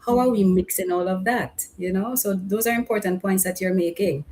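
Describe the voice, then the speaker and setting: A woman's voice: mid-range voice, normal speed, a little mellow, slight background noise. A woman hosting an interview, affirming her guests often. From Trinidad. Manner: affirming, frequent mm-hmms. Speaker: host.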